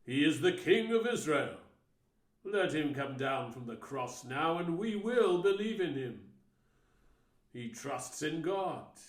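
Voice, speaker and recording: A man's voice: a little high, sing-song, takes it slowly, reverberant. A man voicing scornful men in a crowd who jeer and taunt, in a dramatised scripture reading. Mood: mocking. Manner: mocking, taunting.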